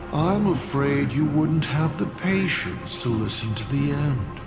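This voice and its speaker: A man's voice: medium pitch, roomy sound. A man playing a smug, playful scheming villain in a video game, with a theatrical, teasing delivery. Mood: taunting, playful. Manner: theatrical, teasing, drawn-out delivery with big swoops.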